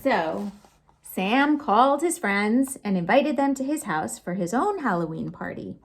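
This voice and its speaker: A woman storytime host reading picture books aloud to children, expressive and sing-song, with chatty asides to her young listeners. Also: mid-range voice, unhurried. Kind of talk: animated picture-book read-aloud for children.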